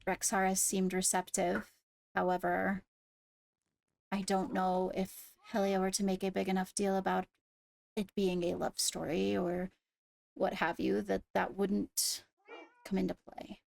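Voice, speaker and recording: A woman's voice: medium pitch, little pitch movement, creaky, a little mellow, no room sound. A woman playing a diplomatic court leader in improvised game roleplay, speaking formally and choosing words with care. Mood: cautious, deliberate. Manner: deliberate, formal, careful phrasing.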